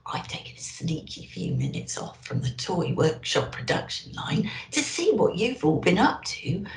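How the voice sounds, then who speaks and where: A woman reads like a children's storyteller, theatrical and sing-song. Her pace is slow and her pitch swoops widely, in an echoey room. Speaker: children's storyteller presenting as an elf.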